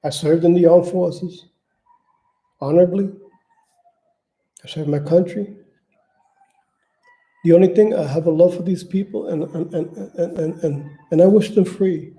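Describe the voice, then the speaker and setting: A man's voice: fairly high pitch, fairly even intonation, unhurried, pauses often, warm tone. A man from New York talking casually and unscripted to his viewers, often stumbling and repeating words as he hunts for what to say. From Manhattan, New York, United States. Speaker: channel host appealing for donations. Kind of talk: casual unscripted livestream talk.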